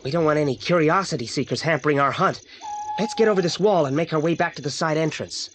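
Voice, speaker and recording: A man's voice: a little high, fast, background noise, lightly acted. An actor playing a clever, bossy boy detective in an audio drama, precise and commanding. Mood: brisk. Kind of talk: 1980s British audio drama.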